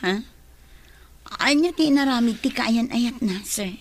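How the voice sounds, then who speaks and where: Brief: actress as a curious, gossipy young woman with a high voice. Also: lively intonation, a little slow, a little hiss or hum. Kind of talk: radio drama acting.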